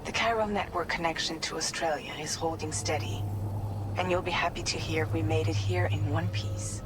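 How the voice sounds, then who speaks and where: An actress playing a calm, cordial female team member giving matter-of-fact reports in a video game. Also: sits low, moderate pace, noisy background, natural voice. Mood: friendly, calm. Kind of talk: video game cutscene dialogue.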